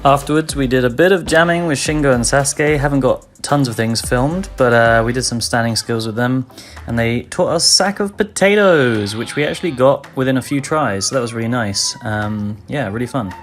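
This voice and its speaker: A woman's voice: a bass voice, moderate pace, soft background music. A woman vlog host narrating casually.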